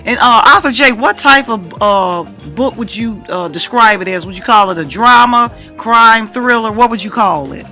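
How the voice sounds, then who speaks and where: A woman's voice: fairly high pitch, expressive, moderate pace, few pauses, sharp tone, faint music behind. A woman hosting a casual radio interview, chatty and encouraging as she puts questions to her guest. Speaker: radio show host, interviewer.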